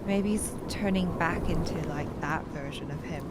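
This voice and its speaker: A woman's voice: low voice, normal speed, very noisy. A woman co-hosting a reaction show, making casual, wry comments on a game story. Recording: music from the watched game.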